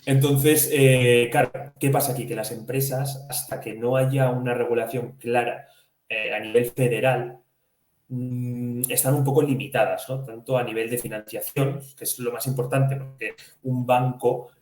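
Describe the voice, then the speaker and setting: A man's voice: medium pitch, steady tone, moderate pace, darkish tone. A man from co-hosting a financial podcast, explaining conversationally and posing questions he then answers. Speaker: podcast co-host. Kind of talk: conversational financial news discussion. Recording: echoey room.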